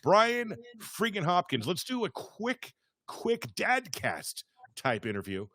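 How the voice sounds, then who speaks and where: A man hosting a podcast in a casual, chatty interview style, upbeat, informal and excitable. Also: high-pitched, takes it slowly, no room sound. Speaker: podcast host.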